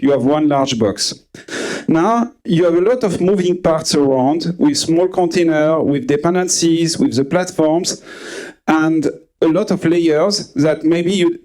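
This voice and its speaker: A man's voice: a little high, a little mellow, poor audio. French-accented man giving a conference talk. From France. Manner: hesitant, many uh fillers and restarts. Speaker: conference speaker. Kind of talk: conference talk to a live audience. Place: conference room with audience.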